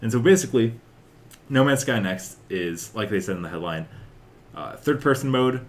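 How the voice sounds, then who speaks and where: A man's voice: mid-range voice, lively intonation, moderate pace, slight background noise. A young man hosting a podcast, chatting casually and rambling about with lots of filler words. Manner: rambling, peppered with "like" and "uh". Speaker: podcast host. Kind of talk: casual chat about video game news.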